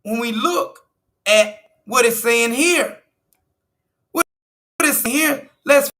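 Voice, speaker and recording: A man's voice: very high voice, slow, pushed and bright. A man from the United States, a political commentator walking through an article, emphatic and repetitive, stressing numbers and restarting phrases.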